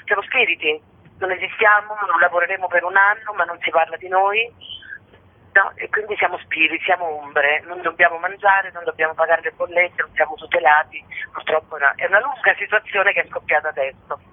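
A woman's voice: lowish voice, fairly even intonation, fairly quick. A woman from Italy, a theatre actor and director, talking discursively and emphatically as a radio interview guest. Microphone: phone call to a radio show. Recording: narrow, tinny phone line.

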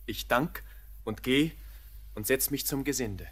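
An actor playing a sullen, shy young drifter, curt and guarded, in a 1940s radio drama. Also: male voice, mid-range voice, a little slow, a bit bright. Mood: resigned. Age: young adult.

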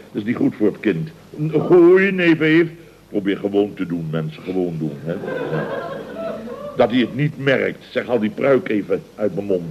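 An actor playing a blustering, jovial boss in a radio comedy, stammering and exclaiming in mock-formal phrases. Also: male voice, mid-range voice, noisy background. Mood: embarrassed. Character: blustering, jovial male boss of a building firm. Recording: dull old radio recording.

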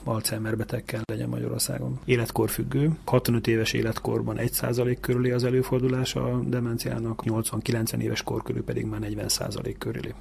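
Male medical expert explaining in an interview, flat intonation, very creaky, slight background noise. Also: mid-range voice, few pauses.

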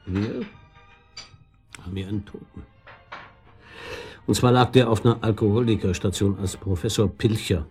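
An actor playing a shrewd police chief in a radio crime drama, dryly thinking aloud in colloquial speech. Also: male voice, on the low side, normal speed, long pauses, a little mellow, soft background music, natural voice. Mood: matter-of-fact. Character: shrewd, musing male police detective chief. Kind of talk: naturalistic German radio crime drama acting.

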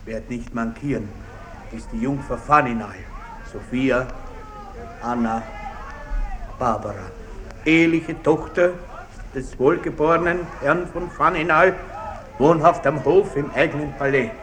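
An actor playing a blustering, indignant country baron in a theatrical German radio play, pompous in manner. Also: male voice, medium pitch, a little slow, long pauses, a bit bright, natural voice. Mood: haughty, composed. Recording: slightly distant, hissy 1960s radio recording.

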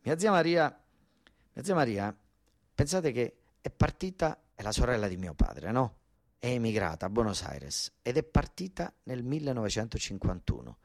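A man's voice: medium pitch, big pitch swings, moderate pace, dry recording. Southern Italian male stage storyteller, dry sound. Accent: southern Italian, Basilicata. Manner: colloquial, emphatic, repeats phrases for effect. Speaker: monologue actor and narrator. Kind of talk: theatrical first-person storytelling.

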